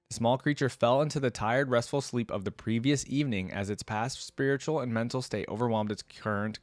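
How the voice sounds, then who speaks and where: A man with a mid-pitched voice hosts a reaction video. He reads lore aloud like a storyteller, slipping into casual asides of his own. The sound is close and dry, with hardly any room to it. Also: no echo.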